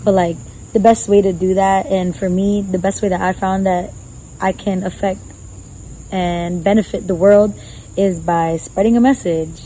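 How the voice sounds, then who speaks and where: A young woman guest on a podcast, talking loudly and casually with lots of slang and "you know what I'm saying.". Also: medium pitch, fairly even intonation, normal speed, slight background noise, poor audio. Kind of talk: casual conversational interview. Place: outdoor gazebo with crickets.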